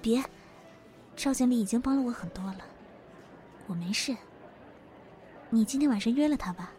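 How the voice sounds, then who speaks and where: A woman playing a meek, wounded young office worker in an audio drama, speaking softly and hesitantly. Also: on the high side, expressive, normal speed, close to an everyday voice. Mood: downcast, reassuring. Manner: soft, hesitant, subdued. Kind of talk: modern audio drama acting. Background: faint music.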